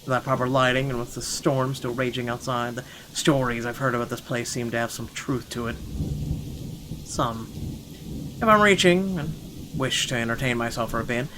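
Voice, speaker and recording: A man voices a wealthy, short-tempered gentleman narrating his own thoughts in a horror visual novel. The delivery is dramatic and indignant at a medium pitch, over clearly audible background ambience. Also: normal speed, a little hiss or hum, natural voice. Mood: wary, brooding. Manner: indignant, expressive, stumbles over the script. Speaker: gaming channel host.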